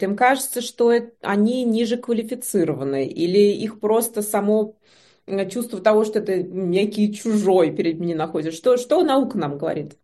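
A woman interviewer asking questions in a measured, composed way. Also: medium pitch, mellow.

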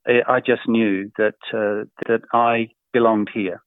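A middle-aged man from Australia answering interview questions in a casual, hesitant way, with many fillers and soft chuckles. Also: on the low side, flat delivery, a little slow, dry recording. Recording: tinny phone-like line, dry.

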